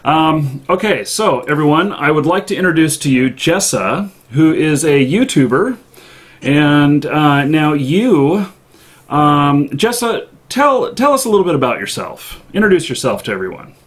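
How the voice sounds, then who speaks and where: A man hosting a casual live interview over an online call, with halting, hesitant phrasing. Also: on the high side, lively intonation, husky. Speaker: live stream host. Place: indoor office.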